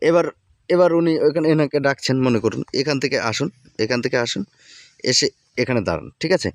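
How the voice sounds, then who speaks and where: A man's voice: mid-range voice, quick pace, no room sound, clean studio sound. A man hosting a casual, step-by-step app tutorial, often checking in as he instructs. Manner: repeatedly checks in while instructing. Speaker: tutorial host.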